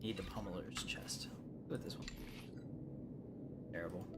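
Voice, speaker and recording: A man's voice: sits low, fairly even intonation, normal speed, in a whisper. A man giving casual live gameplay commentary, rambling and chatting easily with viewers. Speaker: live streamer and YouTube gamer. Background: loud game music.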